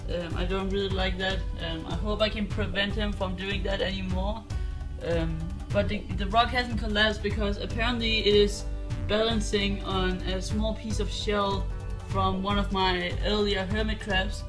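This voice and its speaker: A man's voice: high voice, fairly even intonation, moderate pace. A man with a Danish accent, talking casually while showing his aquarium, rambling with lots of ums and restarts. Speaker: aquarium hobbyist vlogger. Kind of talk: casual show-and-tell vlog. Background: music.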